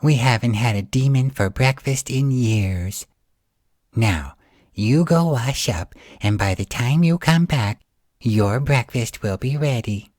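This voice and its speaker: A man's voice: mid-range voice, animated, takes it slowly, a little mellow, no room sound, studio quality, pitched above the performer's own voice. A man voicing a fussy, flustered female ogre in a storybook, with fretful, motherly phrasing. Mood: placating. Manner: fretful exclamations, coaxing and motherly. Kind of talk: storybook character voice.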